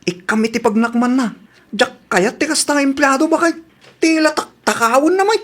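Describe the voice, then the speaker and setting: A man's voice: extremely high pitch, moderate pace, fairly bright tone. A man acting an impatient, indignant husband who questions insistently, in an audio comedy drama.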